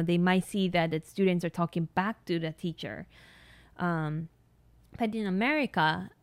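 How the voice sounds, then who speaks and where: Japanese-accented woman, smooth and close. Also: medium pitch, no room sound. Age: 30s. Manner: earnest, many fillers like um and you know. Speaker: nurse practitioner and podcast host. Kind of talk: solo podcast monologue.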